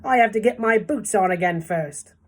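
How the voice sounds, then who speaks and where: A woman's voice: medium pitch, normal speed, voice pushed up, lightly acted. A woman voicing a fussy, stubborn male centipede in a storybook, emphatic and grumbling.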